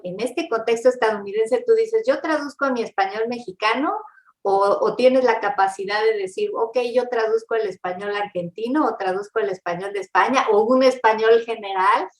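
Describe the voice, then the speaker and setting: A woman hosting an interview, asking long, carefully framed questions in a conversational way. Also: medium pitch. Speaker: interview host, linguist. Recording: roomy echo.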